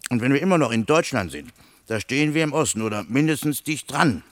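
An actor playing a grumbling, bossy lost soldier in a radio play, with coarse, needling talk. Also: male voice, medium pitch, big pitch swings, fast talker, no echo, lightly acted. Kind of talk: German radio play dialogue.

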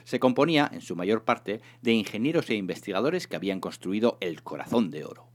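A man reading a humorous science fiction novel aloud in an expressive, theatrical narration. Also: fairly low pitch, brisk. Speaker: reader of a serialized humorous sci-fi novel.

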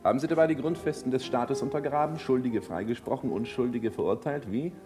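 An actor playing a teasing, worldly, lightly mocking society gentleman in a German radio drama, urbane and ironic. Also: mid-range voice, fairly quick, over music, natural voice. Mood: amused, mocking.

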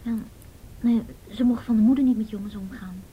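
An actress playing a shy, grieving teenage girl in a radio drama, speaking softly and breathily, halting and guarded. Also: on the high side, highs cut off, slight background noise, lightly acted. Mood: guarded, sad. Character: shy, hesitant, grieving teenage girl, loyal best friend.

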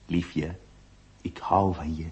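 A man's voice: deep voice, fairly even intonation, slow, lo-fi sound, noisy background. A male actor playing a flirtatious, easily flustered notary in a radio play, confiding and hesitant. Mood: tender, coaxing. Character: flirtatious, flustered ladies' man notary telling his story. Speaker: lead actor and narrator. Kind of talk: theatrical radio drama acting.

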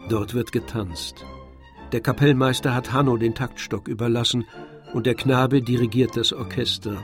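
A man tells a literary story as a radio drama narrator. His voice is mid-pitched and his delivery measured and formal, with faint music behind him.

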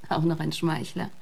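An actress playing a flirtatious, sultry fiancée in a radio play, coquettish and gently mocking. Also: female voice, deep voice, creaky, slightly rough, exaggerated voice. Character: seductive, teasing beauty, wealthy man's flirtatious fiancée.

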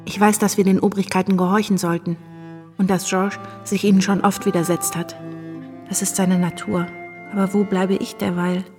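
A woman acts an anxious young wife telling her own story in a radio play. The voice is mid-pitched and confiding, with faint music behind it. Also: little pitch movement, normal speed, a little mellow, natural voice. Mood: confiding, worried. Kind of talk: first-person radio drama narration.

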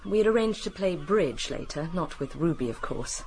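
An actress playing a composed, genteel, guarded British widow in a radio drama. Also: female voice, lowish voice, lively intonation, normal speed, a little mellow, slight background noise, low-quality recording. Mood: guarded, measured.

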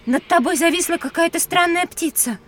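A clever little girl with a high voice, inquisitive and a bit tart, in a children's audio adventure. She sounds slightly off the mic, with faint birds and insects around her. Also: brisk, a bit bright, distant mic, slight background noise. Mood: miffed, cautioning. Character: alert, clever, easily miffed young girl explorer. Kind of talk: audio drama acting for children.

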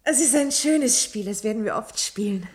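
A woman's voice: on the high side, expressive, moderate pace, close to an everyday voice. An actress playing a curious, spirited yet wary female companion in a German radio play. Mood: hesitant, devoted.

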